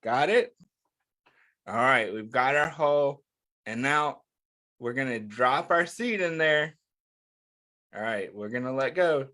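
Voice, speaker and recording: A man's voice: mid-range voice, expressive, sharp tone. A man hosting an interactive read-aloud for young children, speaking encouragingly and putting questions to his listeners. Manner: encouraging, addresses listeners with questions and pauses for answers. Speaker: children's story time host.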